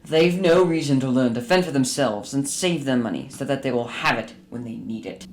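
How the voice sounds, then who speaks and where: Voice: mid-range voice, normal speed, natural voice. An actor playing a bitter, miserly old businessman who grumbles and scolds curtly in a radio drama. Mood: scornful, angry.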